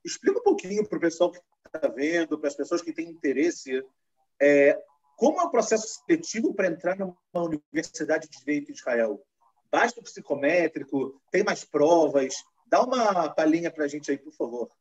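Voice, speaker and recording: A man's voice: a little high, big pitch swings, moderate pace, a little mellow, husky. A man hosting a live-streamed interview, talking in a loose, conversational way and asking long, rambling questions. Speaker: host. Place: lives in Israel. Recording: muffled lo-fi stream audio.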